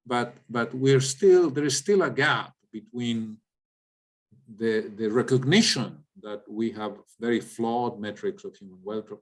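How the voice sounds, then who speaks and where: A man's voice: medium pitch, unhurried. A man from Latin America, an economist giving an informal explanatory lecture and often checking in with listeners. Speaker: economist, guest speaker. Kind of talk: informal lecture with audience questions.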